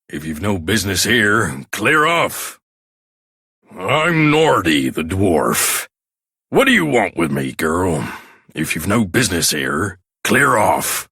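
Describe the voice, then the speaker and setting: A surly fantasy game dwarf, grudging and curt with outsiders. His voice is rough and forceful, with swooping intonation and an unhurried pace. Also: low-pitched, dry recording, studio quality, full character voice. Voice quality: gravelly, rough. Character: gruff, grudging male dwarf who distrusts humans. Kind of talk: video game character acting.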